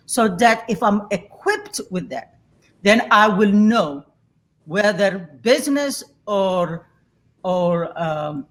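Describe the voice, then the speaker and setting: A woman's voice: mid-range voice, fairly bright tone. A woman in her sixties from Somalia, a guest telling her story candidly and laughing easily. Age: 60s. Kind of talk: reflective interview conversation.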